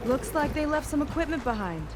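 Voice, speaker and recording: A woman playing an eager, forthright young seafaring scout in a video game, animated and candid. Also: sits high, brisk, noisy background. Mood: sober, informative. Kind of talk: video game cinematic acting. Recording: loud music behind the dialogue.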